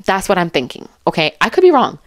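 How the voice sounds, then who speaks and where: A woman commentator talks casually and emphatically, as if chatting straight to her viewers. Her voice sits a little low, and she rambles in an animated, informal way. The sound is dry, with very little room to it. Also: fast, no echo. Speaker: true crime commentary YouTuber.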